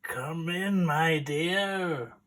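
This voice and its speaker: A woman voicing a big, hungry, sly male wolf from a storybook, with a deep, scratchy, growling voice and a slow, menacing delivery. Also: fairly bright tone, heavily acted. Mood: croaking, falsely sweet.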